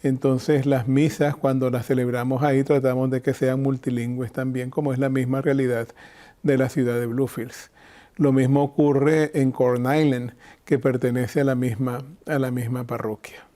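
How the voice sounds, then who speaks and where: An older Nicaraguan man speaks with a very mellow, relaxed tone at an average pitch. He explains things in a measured, reflective way, as a bishop being interviewed. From Chinandega, Nicaragua.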